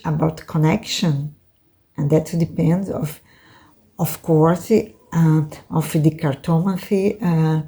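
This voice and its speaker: A woman from Brazil with a Brazilian Portuguese accent, teaching card reading in English as a second language, halting and full of fillers. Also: low-pitched, creaky, mellow. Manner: unhurried, halting, many fillers and tag questions. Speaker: tarot and oracle card teacher.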